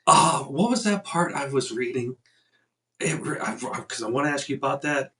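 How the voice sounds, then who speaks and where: A man with a Chicago accent hosts a casual interview. He is upbeat and jokey and laughs easily. His voice is mid-pitched. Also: expressive, normal speed, pushed and bright. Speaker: show host.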